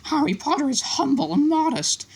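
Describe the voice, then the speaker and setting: Tiny squeaky storybook house elf, groveling and teary, voice way up high and swooping, talks about himself in third person. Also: lively intonation, normal speed. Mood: earnest, fearful. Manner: earnest, speaks of himself by name. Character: tiny male house elf, servile and tearful.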